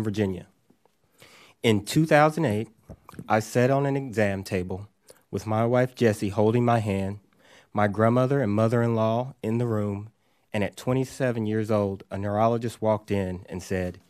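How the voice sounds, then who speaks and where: A man in his thirties, a small business owner, reading personal testimony at a hearing in a steady storytelling manner. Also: on the low side, dry recording, clean studio sound. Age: 30s. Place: hearing room.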